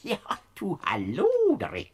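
An actor playing Death as a sly, bumbling peasant spirit in a Bavarian radio play, stammering, giggling and dropping to a hush. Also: male voice, high voice, wildly swooping pitch, slow, a little hiss or hum, heavily acted. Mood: giggly, curious. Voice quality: raspy, creaky, whispering and squealing by turns. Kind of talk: classic radio play acting.

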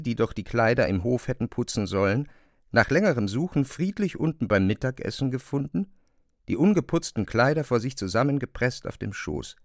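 A man's voice: mid-range voice, no echo, clean studio sound. A man narrating a classic novel as scripted literary prose.

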